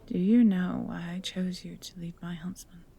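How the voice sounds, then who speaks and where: Woman acting a menacing villainous noblewoman, husky and breathy, gravelly creak, commanding, cold, deliberate, fantasy audio drama. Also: lowish voice, fairly even intonation, dark tone. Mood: coolly menacing. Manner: slow, deliberate, threatening, commanding. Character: cold, menacing evil noblewoman, imperious mistress.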